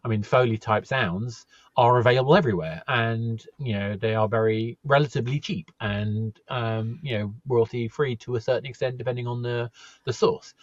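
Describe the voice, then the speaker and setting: Lo-fi husky male podcast host. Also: mid-range voice, normal speed, dry recording. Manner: affable, playful asides. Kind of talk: casual podcast discussion.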